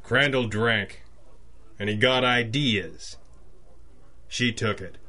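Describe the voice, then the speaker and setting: A man playing a gruff, jaded, sardonic police lieutenant in an old-school radio detective drama, speaking curtly. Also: medium pitch, takes it slowly, pushed and bright, faint music behind. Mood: grim, bitter. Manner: curt, sardonic.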